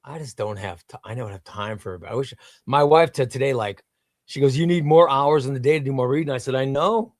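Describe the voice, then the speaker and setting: A man's voice: medium pitch, fairly quick, dry recording, clean studio sound. A man hosting a casual livestream, reading viewer comments aloud and gushing with enthusiasm, often repeating himself. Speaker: livestream host.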